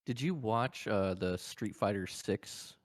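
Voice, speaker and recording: A man's voice: on the low side, slow pace, dry recording, clean studio sound. A man co-hosting a gaming podcast, chatting casually in a teasing, colloquial, joking way. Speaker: podcast co-host. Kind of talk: casual banter about video games.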